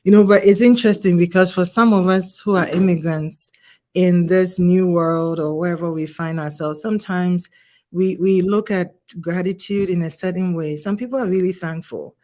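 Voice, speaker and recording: A woman's voice: on the low side, fairly even intonation, moderate pace, a little mellow. A woman hosting an online interview, greeting viewers and asking long, searching questions. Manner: welcoming, poses long questions. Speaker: host. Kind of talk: hosted interview.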